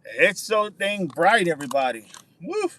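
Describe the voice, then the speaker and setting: A man's voice: high-pitched, sing-song, a little slow, bright, forceful tone, a little hiss or hum. A man hosting a casual livestream outdoors, chatting with viewers and answering their comments, often repeating words for emphasis. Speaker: livestream host.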